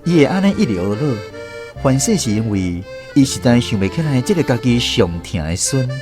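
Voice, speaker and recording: A man's voice: mid-range voice, very animated, a little fast. A man with a Taiwanese accent narrating a radio drama story. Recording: music under the voice. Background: instrumental music.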